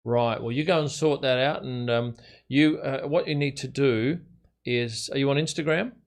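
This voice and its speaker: A man's voice: medium pitch, moderate pace. Older aussie guy chatting thru a race stream, easygoing mate-talk, mid voice. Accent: Australian. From Australia. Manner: chatty, chuckling, matey. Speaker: livestream host. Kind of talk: casual chat and race commentary.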